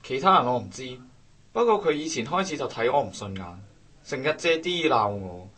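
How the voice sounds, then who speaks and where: A man's voice: medium pitch, expressive, normal speed, a bit bright, breathy edge. A man acting a resentful, sarcastic young coworker in a Cantonese radio drama, with a Hong Kong accent and a grumbling delivery. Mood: aggrieved.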